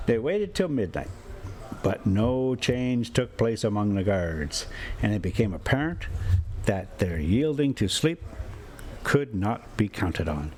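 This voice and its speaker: A man with a lowish voice hosts a homespun story time. He takes his time, and his pitch swings in a lively way. His manner is folksy and informal, and there is some noise behind him. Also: slow. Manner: folksy, rambling, chuckling self-commentary. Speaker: storyteller and host reading a classic novel. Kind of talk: read-aloud story with casual asides.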